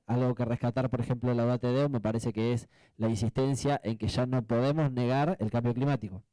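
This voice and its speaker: A man with an Argentine accent speaking off the cuff as a panelist, reflective and halting, often adding tag questions. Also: medium pitch, dark tone, no echo, poor audio. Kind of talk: spontaneous panel discussion answer.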